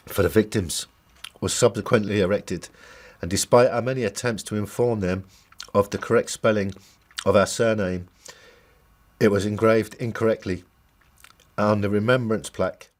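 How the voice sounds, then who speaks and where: A middle-aged man with an Irish accent gives a personal testimony as a bereaved relative, solemn and sometimes halting. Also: on the low side. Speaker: bereaved brother giving a family statement. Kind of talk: personal testimony, partly recalled and partly read.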